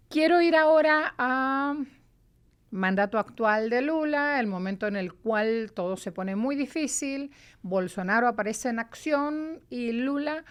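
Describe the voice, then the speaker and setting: A woman interviewing a colleague, asking questions in a lively, teasing way. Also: mid-range voice, clean tone.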